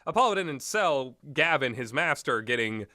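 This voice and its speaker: Bright-voiced man rambling through a casual review. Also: mid-range voice, big pitch swings, moderate pace, no room sound, studio quality. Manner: rambling, often breaks into amused laughter. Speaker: video game reviewer.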